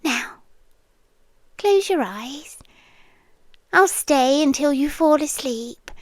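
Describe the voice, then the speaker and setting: A British woman playing an obsessive, possessive landlady girlfriend in an intimate ASMR roleplay, soft and breathy, often dropping into whispers, close to the mic. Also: high voice, animated, no room sound. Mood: soothing, smothering. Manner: slow, intimate, coaxing, possessive. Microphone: close, dry microphone.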